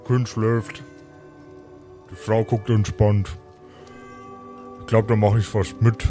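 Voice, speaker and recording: A man's voice: fairly low pitch, little pitch movement, takes it slowly, warm tone, faint music behind. A man improvising dialogue and small actions as a player in a fantasy role-play.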